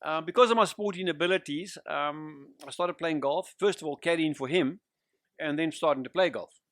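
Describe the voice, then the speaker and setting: Older southern African man, higher-pitched voice. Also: moderate pace, fairly bright tone, dry recording, studio quality. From Central Africa (Zimbabwe, Zambia region). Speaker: guest pastor and evangelist. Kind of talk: personal testimony in an interview.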